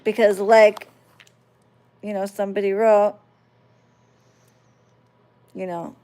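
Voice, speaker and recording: Slow, gravelly-voiced woman chatting. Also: mid-range voice, flat delivery, mellow. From United States. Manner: conversational, checks listeners understand. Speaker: spiritual channel host. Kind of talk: casual talk to viewers.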